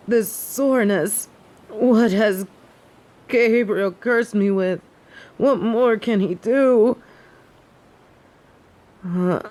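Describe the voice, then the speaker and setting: Woman as snobby fallen angel, higher-pitched voice, unhurried delivery, formal and imperious, under the weather with a cold, audio roleplay. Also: darkish tone. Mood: miserable, indignant. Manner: haughty, formal, archaic phrasing. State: sick with a head cold, sore throat.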